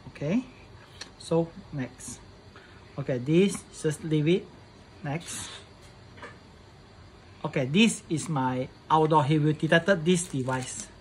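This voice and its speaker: A man presenting a casual hands-on setup tutorial in halting English, often repeating his phrases. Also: a little high, slight background noise.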